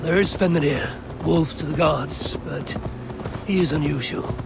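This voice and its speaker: A grave Norse warrior. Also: male voice, on the high side, vocal fry, noisy background. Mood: curious. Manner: measured, grave. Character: stern male Norse warrior, calm. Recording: game audio under loud music.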